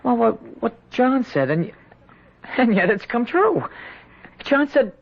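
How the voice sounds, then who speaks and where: A man playing a naive young farm lad in a radio drama, speaking in a halting, hesitant way. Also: very high-pitched, sing-song, vocal fry, exaggerated voice. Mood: awed, uncertain. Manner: halting, stammering. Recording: dull, muffled old radio broadcast.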